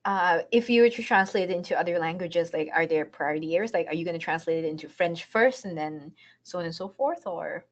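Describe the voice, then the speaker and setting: A woman's voice: on the low side, a little fast, hardly any pauses, muffled recording. A woman moderating a webinar, reading out audience questions in a light, friendly way over a video call. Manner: light, friendly, laughs briefly.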